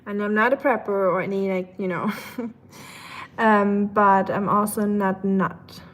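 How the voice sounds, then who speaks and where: A woman's voice: mid-range voice, steady tone, slow pace, a bit bright. A woman with a German accent chatting casually to livestream viewers, thinking aloud in a rambling way with dry humor. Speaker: livestream host. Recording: clear background ambient noise.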